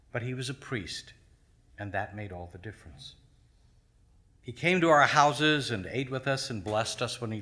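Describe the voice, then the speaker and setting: A man reading his own short story aloud on a small theater stage, measured, his voice dropping to a hush at the ends of lines. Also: lowish voice. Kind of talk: live literary reading.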